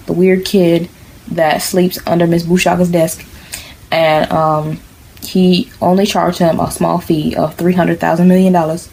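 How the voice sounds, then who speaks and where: A woman telling a comic, improvised bedtime story in a deadpan, mock-serious way, full of sassy asides. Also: fairly low pitch, slight background noise, rough recording. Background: background music.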